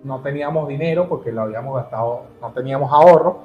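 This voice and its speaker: A man from Caracas with a Venezuelan accent, telling his story as an interview guest in an earnest, halting way with many restarts. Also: medium pitch, echoey room, phone-quality sound, faint music behind. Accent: Venezuelan Spanish.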